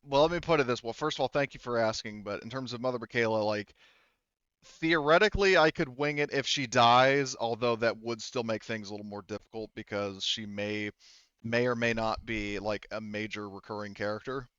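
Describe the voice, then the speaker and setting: A man's voice: mid-range voice, brisk, a bit bright, clear, resonant tone, no room sound, lo-fi sound. A man running a tabletop roleplaying game as game master, talking through rulings in a casual, explanatory way.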